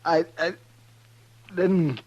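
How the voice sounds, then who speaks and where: An actor playing a dying, wounded outlaw in a 1950s radio western, with a weak, husky, halting voice. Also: male voice, on the high side, steady tone, darkish tone, lo-fi sound, exaggerated voice. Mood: weak, distressed. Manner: halting, faltering. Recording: vintage 1950s radio recording.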